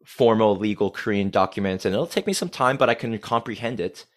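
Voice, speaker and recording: An energetic man, a podcast guest talking casually and swearing freely. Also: fairly low pitch, brisk. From United States. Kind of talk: casual conversation.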